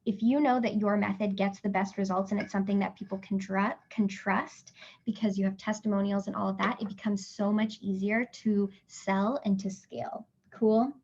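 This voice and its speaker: A woman from Canada leads a live online class, talking in a chatty, encouraging way with frequent check-ins. Her voice sits at a middle pitch and is a little hoarse. The call audio is dull and lo-fi. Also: level tone, moderate pace, a little mellow. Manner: chatty and encouraging, many fillers and "right?" checks. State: losing her voice. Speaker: webinar host and business coach. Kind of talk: live webinar teaching.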